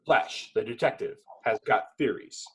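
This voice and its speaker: Husky man narrating a screenplay. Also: mid-range voice, fairly bright tone. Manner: dramatic, clipped phrases with pauses. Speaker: narrator reading stage directions. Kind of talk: dramatic screenplay narration in a table read.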